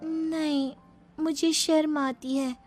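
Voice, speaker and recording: A woman's voice: high voice, fairly even intonation, slow pace, a little mellow, faint music behind, voice pushed up. A woman voicing a coy, cheeky young bride in a cartoon moral story. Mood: shy.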